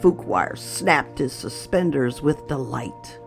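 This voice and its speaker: A storytime host reading a children's picture book aloud in an expressive, unhurried way. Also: medium pitch, vocal fry, faint music behind.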